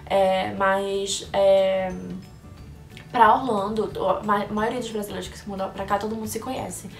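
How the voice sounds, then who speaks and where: A woman's voice: mid-range voice, steady tone, unhurried. A young woman from Brazil chatting casually to camera, answering viewers' questions and thinking aloud with frequent restarts. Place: indoor room in Orlando under bright lights. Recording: echoey room, voice a bit far from the mic, faint music behind.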